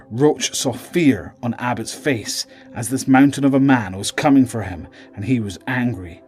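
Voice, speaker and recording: A man giving dramatic, scripted narration as the storyteller of a horror audio drama. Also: mid-range voice. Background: dramatic music.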